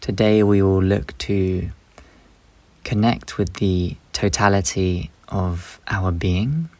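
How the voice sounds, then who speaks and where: A man leading a guided meditation, speaking unhurriedly in short instructive phrases with long pauses between them. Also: sits low, warm tone, no echo.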